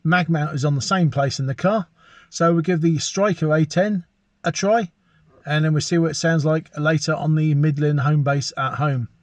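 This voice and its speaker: A man with a British accent and a slightly high-set voice presents a hobby review. His delivery is that of an enthusiastic reviewer, and the sound is dry with very little room in it. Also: steady tone, moderate pace, dry recording. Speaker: presenter of radio hobby videos. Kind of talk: enthusiastic product review narration.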